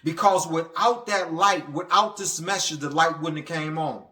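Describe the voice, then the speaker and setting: In a roomy, echoing space, a man preaches with a bright, forceful voice that sits a little high. He delivers an impassioned motivational sermon, stumbling and stammering at times, and circles back to repeat phrases for emphasis. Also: normal speed. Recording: echoey, reverberant room sound.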